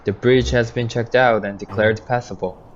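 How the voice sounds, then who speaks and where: A man's voice: medium pitch, steady tone, moderate pace, close to an everyday voice. A young man playing a businesslike state trooper in a school radio play. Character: plain-spoken male state trooper. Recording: dull lo-fi mic with noise.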